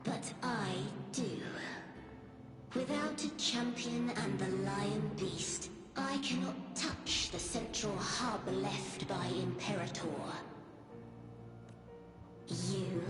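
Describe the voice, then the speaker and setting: A cold, manipulative video game villainess. She speaks very slowly and deliberately in a commanding, condescending way, her voice echoing over heavy music. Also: mid-range voice. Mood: imperious. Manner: slow, deliberate, imperious delivery. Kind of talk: dramatic video game voice acting.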